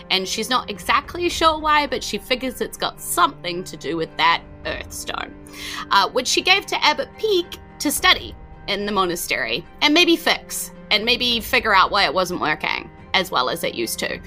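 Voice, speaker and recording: A woman's voice: mid-range voice, sing-song, normal speed, sharp tone, soft background music. A woman with a New Zealand accent running a tabletop game, narrating the story so far in a chatty way, with fillers and self-corrections. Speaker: game master.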